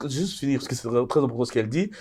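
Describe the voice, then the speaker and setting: A man's voice: medium pitch, animated, racing, dark tone, husky. A man, a podcast panelist who talks insistently and pushes to finish his point. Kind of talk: casual panel discussion.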